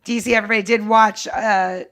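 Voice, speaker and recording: A woman's voice: mid-range voice, steady tone, a little fast, a bit bright. A woman co-hosting a podcast in casual chat, blunt, joking and salty-tongued. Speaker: podcast co-host.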